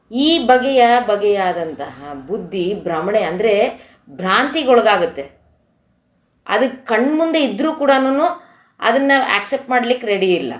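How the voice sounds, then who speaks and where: Woman giving a devotional talk, echoey room. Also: mid-range voice. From Karnataka, India. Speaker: devotional speaker. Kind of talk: informal spiritual discourse explaining a Sanskrit verse.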